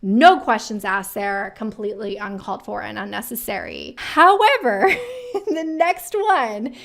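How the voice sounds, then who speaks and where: Female career-advice host, thirties, slightly high pitch, animated conversational ranting to camera. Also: measured pace, creaky. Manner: animated, emphatic, conversational ranting with rhetorical questions. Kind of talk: casual opinionated commentary to camera.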